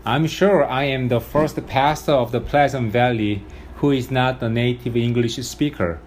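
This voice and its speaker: A male pastor preaches with a strong Korean accent, speaking in an earnest, measured way. He lifts his voice for emphasis now and then. His pitch sits in an ordinary middle range for a man. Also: a little mellow, slight background noise. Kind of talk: sermon.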